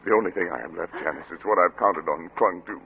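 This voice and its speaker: Casting: tormented scientist husband losing his grip, dramatic old-radio delivery, low voice, tinny recording. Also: vocal fry, a little hiss or hum. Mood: desperate, pleading. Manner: intense, halting, dramatic. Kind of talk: 1940s radio drama acting. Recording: tinny old radio broadcast, music behind.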